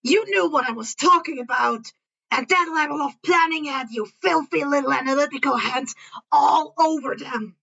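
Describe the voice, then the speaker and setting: A woman voicing a brash, sharp-tongued teenage boy hero student, blunt, barking and seething. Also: sits high, moderate pace, vocal fry, highs cut off, exaggerated voice. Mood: mocking.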